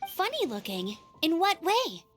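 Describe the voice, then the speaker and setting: A woman's voice: very high voice, huge pitch swings, a little slow, faint music behind, exaggerated voice. A woman playing an upbeat, brave young scout knight in a video game, with a perky, eager, determined manner. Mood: curious. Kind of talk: animated video game voice acting.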